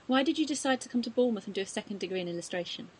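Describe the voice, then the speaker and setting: A woman's voice: on the high side, animated, racing, noisy background. A woman interviewing a guest, asking short, direct questions.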